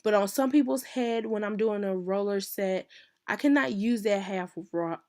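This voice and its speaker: A woman, hoarse and losing her voice from illness, chatting casually through a hair tutorial and often correcting herself. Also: medium pitch, normal speed, a little mellow. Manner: chatty, uses "y'all", self-correcting. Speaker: hairstylist teaching a tutorial.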